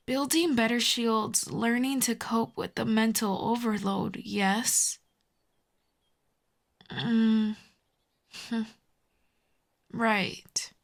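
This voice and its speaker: A woman playing a telepathic villain in an intimate roleplay audio drama, cool and commanding but grudgingly tender, with measured, dry, hushed delivery. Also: on the high side. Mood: incredulous, dry.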